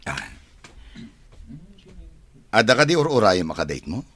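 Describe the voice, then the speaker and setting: A man's voice: low-pitched, very animated, fairly bright tone, heavily acted. A man with a Filipino accent playing a mild, shy bachelor son, hesitant and earnest, in an audio drama. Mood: bashful. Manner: hesitant, halting. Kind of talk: amateur audio drama acting.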